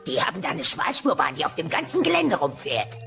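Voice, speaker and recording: A very gravelly, bright-voiced old dinosaur granny from a comedy audio play. She is crabby and shrewd, and tells things drily with lively theatrical swings. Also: low voice, fairly quick, faint music behind, exaggerated voice. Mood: preaching. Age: older. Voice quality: creaky, gravelly. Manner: dry, theatrical storytelling.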